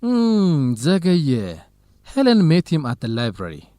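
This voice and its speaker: Earnest young male researcher, expressive pitch. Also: slow pace, no room sound, heavily acted. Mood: earnest, doubtful. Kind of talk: scripted educational radio drama dialogue.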